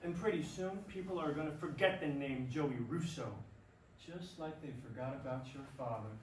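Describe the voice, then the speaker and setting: Echoing and a bit distant, a man plays a taunting, needling ballplayer in a dreamlike stage drama. His voice sits slightly high. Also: moderate pace, natural voice. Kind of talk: staged play reading. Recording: echoey hall, distant mic, faint noise.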